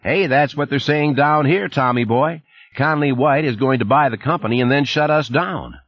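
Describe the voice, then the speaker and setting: A man's voice: medium pitch, moderate pace, no room sound, pitched above the performer's own voice, lightly acted. A man reading an audiobook, putting on a pushy, folksy Texan plant supervisor with a twang. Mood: needling. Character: brash drawling Texan male plant supervisor. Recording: dull lo-fi audiobook recording.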